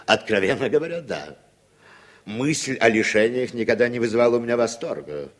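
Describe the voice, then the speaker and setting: An actor playing a wry, educated middle-aged playwright, measured and courteous, in 1960s Soviet radio drama style. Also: male voice, mid-range voice, expressive, lightly acted. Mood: politely defiant.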